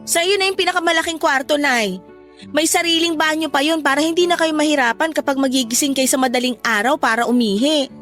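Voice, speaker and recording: A woman with a Filipino accent playing a devoted, forgiving young adult daughter, earnest and gently persuasive, in a Tagalog radio drama. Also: sits high, fairly quick. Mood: earnest, grateful. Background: music.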